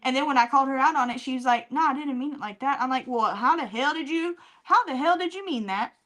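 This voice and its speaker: A woman's voice: high voice, fast talker, a bit bright. A woman co-hosting a podcast in a casual chat, speaking candidly and bluntly with slang and swearing. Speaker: podcast co-host.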